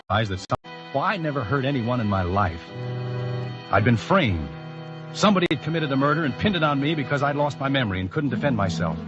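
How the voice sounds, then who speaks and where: A man's voice: mid-range voice, close to an everyday voice. An actor playing an agitated mental patient who insists he is innocent, in 1940s radio drama style. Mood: desperate. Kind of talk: 1940s radio drama acting, first-person narration. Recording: dull old radio recording with music.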